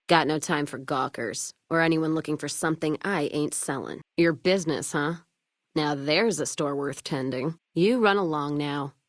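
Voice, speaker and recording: Tough American woman caravan boss, low pitch, dry close sound, hard-drinking, sardonic, blunt, western video game character. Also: a bit bright, no room sound, heavily acted. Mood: prickly, sardonic. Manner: blunt, sardonic, profane frontier drawl with folksy turns of phrase. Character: hard-drinking, sharp-tongued woman caravan owner in a post-apocalyptic western.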